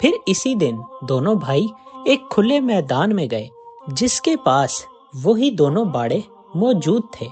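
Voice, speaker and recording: A narrator telling a scripted moral story in an expressive, audiobook-like way. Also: mid-range voice, slow. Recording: music behind the voice. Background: background music.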